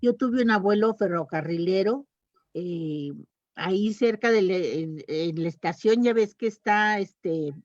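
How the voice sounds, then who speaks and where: A woman's voice: mid-range voice, a little slow, a little mellow, no echo, highs cut off. A woman chatting casually as a panelist in a round-table talk. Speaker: panelist talking about reading habits.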